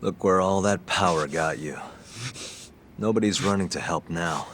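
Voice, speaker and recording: Low-voiced, determined detective. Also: male voice, moderate pace, a bit bright, a little hiss or hum. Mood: quietly menacing. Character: cool, determined male detective, wry and quietly menacing. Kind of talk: naturalistic video game cutscene acting.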